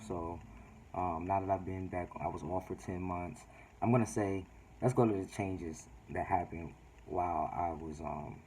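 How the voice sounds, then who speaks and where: A man with a low-pitched voice gives a casual, personal vlog update. He talks in a laid-back, halting way, and there is faint noise behind him. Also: mellow, low-quality recording. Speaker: vlogger.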